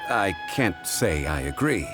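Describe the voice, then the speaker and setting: An actor playing a calm, learned gentleman in a video game, speaking in a measured, formal, courteous way. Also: deep voice, over loud music. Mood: composed. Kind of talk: polished video game dialogue.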